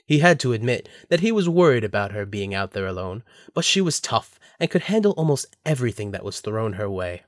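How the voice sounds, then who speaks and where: A man's voice: lowish voice, big pitch swings, a little fast, hardly any pauses, no room sound. Dry-sounding male story narrator.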